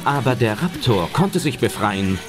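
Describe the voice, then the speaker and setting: A man's voice: medium pitch, big pitch swings, measured pace. A man narrating an audio drama as a scripted adventure story. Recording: loud music under narration.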